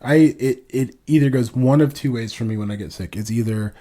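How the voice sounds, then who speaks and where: A man's voice: medium pitch, fairly quick, warm tone, slight background noise. Congested, casual man in his thirties, podcast co-host, rambles and swears. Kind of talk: casual chat.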